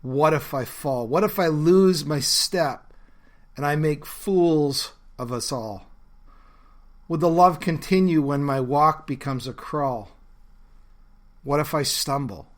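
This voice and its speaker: A man with a bad cold talks through his podcast, his voice sitting a bit lower and rougher than usual. He speaks earnestly and conversationally, pausing on fillers as he thinks aloud. His pitch still sits a little high for a man. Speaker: podcast host and pastor. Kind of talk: reflective solo podcast talk.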